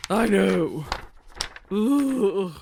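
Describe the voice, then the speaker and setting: A woman's voice: medium pitch, lively intonation, extremely slow, creaky, dark tone, a little hiss or hum, heavily acted. An actress playing a fussy, orderly queen who speaks in a prim, indignant, exclamatory way in a comedy audio drama. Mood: appalled.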